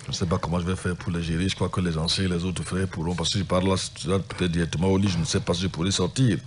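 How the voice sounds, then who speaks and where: Deep-voiced man, sick pastor hosting radio. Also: little pitch movement, very fast, a little hiss or hum. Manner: conversational, reads out phone numbers and listener messages.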